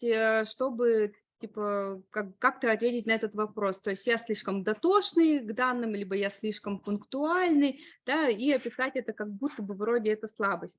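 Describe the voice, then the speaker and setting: A woman speaker on a webinar, giving career advice in an explanatory way with frequent pauses and hesitations. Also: fairly high pitch, no room sound. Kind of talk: online webinar talk.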